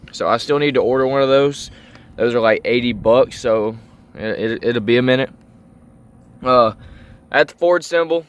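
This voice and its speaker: Male vlogger, mid-pitched and clean-voiced, informal walk-through delivery, unscripted. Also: smooth. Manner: informal, unscripted, thinking aloud as he goes. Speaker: car vlogger. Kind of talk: casual show-and-tell vlog.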